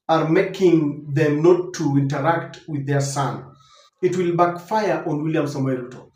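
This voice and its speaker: High-pitched Kenyan man, political commentary. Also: darkish tone. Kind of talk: unscripted political commentary to viewers. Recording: roomy, echoing voice recording.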